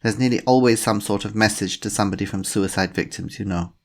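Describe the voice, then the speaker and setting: A man's voice: on the low side, fast talker, natural voice. A man voicing a female police inspector with a slight German accent, politely persistent in her questions. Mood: probing.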